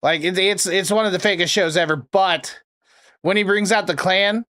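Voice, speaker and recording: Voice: medium pitch, normal speed, a bit bright. A prank caller and streamer chatting casually, with a sarcastic, crude, rambling way of talking. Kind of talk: casual livestream chat and prank calling.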